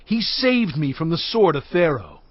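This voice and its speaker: An actor playing Moses in a dramatized audio Bible, an earnest, resolute leader of his people with a husky, declamatory voice. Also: a little high, sing-song, normal speed, no room sound, highs cut off. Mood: fervent. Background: music.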